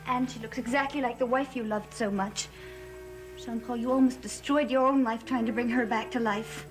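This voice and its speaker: Defiant lovestruck young woman acted by a woman, very high voice, emotional gothic melodrama, music behind. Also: steady tone, moderate pace, close to an everyday voice, low-quality recording. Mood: wistful. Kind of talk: gothic soap opera acting.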